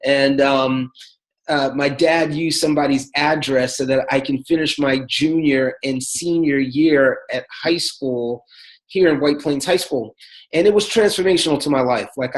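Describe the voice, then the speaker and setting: A man, a pastor telling his life story in a relaxed, chatty way. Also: mid-range voice, moderate pace, poor audio. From the Bronx, New York City. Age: 40s. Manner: relaxed and chatty, many fillers, laughs between thoughts. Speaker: pastor, guest. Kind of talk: casual personal storytelling.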